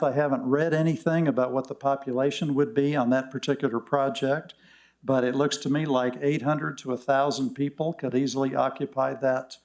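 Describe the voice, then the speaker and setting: An older man giving a measured public comment at a village meeting, with frequent "uh" fillers. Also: mid-range voice, darkish tone.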